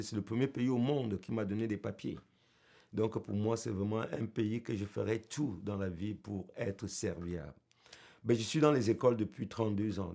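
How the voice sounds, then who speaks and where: Middle-aged musician, husky, gravelly voice; earnest, heartfelt documentary interview. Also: male voice, lowish voice, dark tone. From Cameroon. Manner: earnest, heartfelt, with small restarts.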